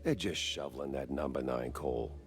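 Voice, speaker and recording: An actor playing a world-weary, wisecracking mouse private detective in a 1930s noir cartoon game, gruff and deadpan. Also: male voice, very deep voice, moderate pace, soft background music. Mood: dry, weary. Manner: deadpan, sardonic.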